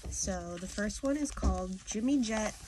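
A woman's voice: mid-range voice, lively intonation, unhurried, background noise. A young adult woman reading to children in an informal storyteller style. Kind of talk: informal read-aloud of children's poems. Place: small room indoors.

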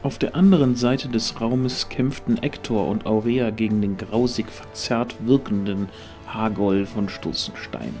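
A man's voice: on the low side, steady tone, a little slow, darkish tone, faint music behind. A man narrating a fantasy audio drama in a scripted, dramatic storytelling style.

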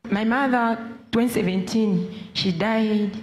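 Halting Zambian woman giving testimony. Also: mid-range voice, darkish tone. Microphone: handheld microphone. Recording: handheld mic in noisy church hall.

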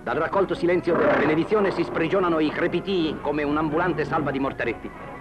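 A man's voice: fairly high pitch, fast talker, over loud music. A man reading ornate, literary newsreel narration.

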